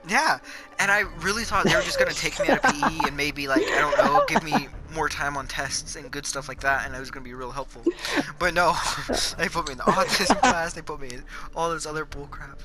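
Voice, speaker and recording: A young man chatting casually while gaming, telling stories in a halting, rambling, sweary way. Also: a little high, sing-song, over a noisy crowd, low-quality recording. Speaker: player chatting in a multiplayer game. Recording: music behind the voice. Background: music.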